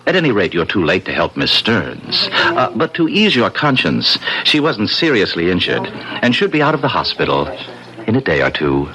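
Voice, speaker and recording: A man's voice: on the low side, huge pitch swings, moderate pace, sharp tone, heavily acted. A man playing a suave, sly devil posing as a courteous businessman, speaking in urbane formal phrases in 1970s radio drama style. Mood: smooth, sly. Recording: noisy vintage radio broadcast.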